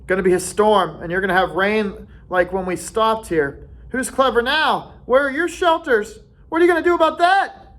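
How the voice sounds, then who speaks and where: A man's voice: high voice, moderate pace, fairly bright tone. A man voicing a defiant, bossy boy who leads a tribe, talking in a taunting, commanding way.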